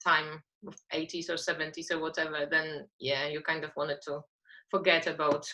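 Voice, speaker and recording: Informal, slightly low-voiced woman host. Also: flat delivery, normal speed, sharp tone, muffled recording. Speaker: interviewer and show host. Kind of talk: casual chat.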